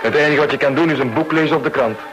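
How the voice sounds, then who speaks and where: A man's voice: on the high side, very fast, over music, natural voice, low-quality recording. An actor playing an easygoing, chatty American businessman on holiday in a radio play. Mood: affable.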